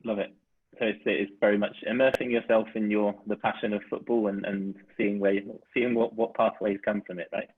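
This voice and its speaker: A man's voice: lowish voice, little pitch movement, brisk, slightly rough. Over a phone-like online call, a man hosts a casual interview with informal, loosely phrased questions. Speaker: interviewer, webinar host.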